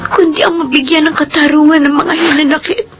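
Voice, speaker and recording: Casting: woman playing guilt-ridden youngest daughter, Filipino, high voice, earnest and emotional, radio drama over prominent music. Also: level tone, brisk, lightly acted. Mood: tearful, remorseful. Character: guilt-ridden grown daughter, youngest child, yearning for mother.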